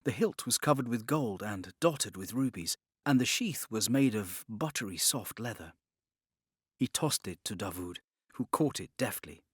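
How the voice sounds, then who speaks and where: Lively male audiobook narrator, dry studio sound. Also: lowish voice, normal speed, breathy edge, no echo. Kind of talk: scripted dramatic narration.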